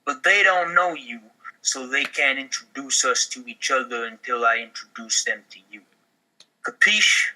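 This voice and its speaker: A man's voice: mid-range voice, normal speed, sharp tone, pitched below the performer's own voice, rough recording. A man acting a slick, pushy, sleazy mafia recruiter in an amateur comedy table read. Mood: explanatory, conniving.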